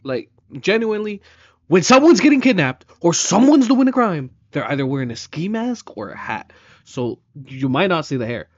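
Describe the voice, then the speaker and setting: A man's voice: sits high, big pitch swings, moderate pace, no room sound. A man giving casual, slangy reaction commentary, incredulous and prone to swearing. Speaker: reaction video host. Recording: dry, slightly dull microphone sound.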